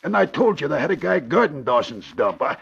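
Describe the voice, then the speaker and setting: An actor playing a nervous, slow-witted gangster sidekick with a husky, hesitant voice in 1940s radio style. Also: male voice, a little high, very animated, a bit bright, full character voice. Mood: worried. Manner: hesitant, slangy. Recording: muffled old radio recording.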